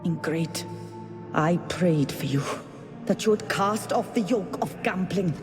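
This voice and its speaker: A woman's voice: lowish voice, expressive, unhurried, music in the background. An actress playing a pious, sanctimonious dead noblewoman in a video game, haughty, formal and theatrical. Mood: indignant, scornful. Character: pious, sanctimonious nagging noblewoman ghost, long-suffering wife. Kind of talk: video game dialogue acting. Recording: long hall reverb.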